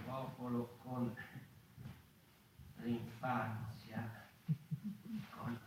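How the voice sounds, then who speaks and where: An actor playing an agitated storyteller of the mouse folk, pondering aloud in a theatrical monologue, halting and repeating himself. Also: male voice, a little high, a bit bright. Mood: halting, troubled. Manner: halting, repetitive, seeking agreement with tag questions. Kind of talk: theatrical monologue, literary stage narration. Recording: very echoey room, distant mic, faint noise.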